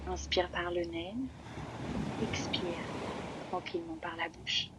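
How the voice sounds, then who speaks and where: Female yoga nidra guide, low-leaning flat intonation, slow and spacious phrasing, prominent surf noise. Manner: slow, unhurried, long pauses between phrases. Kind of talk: guided relaxation meditation. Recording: loud steady ocean-wave noise behind voice. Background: sound of sea waves.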